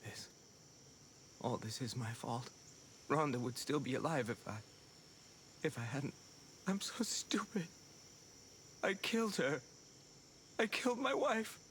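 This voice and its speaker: An actor playing a guilty, grief-stricken scientist in a video game, earnest and halting. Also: male voice, mid-range voice, expressive, fairly bright tone, slight background noise. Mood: anguished, guilty. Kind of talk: video game cutscene acting.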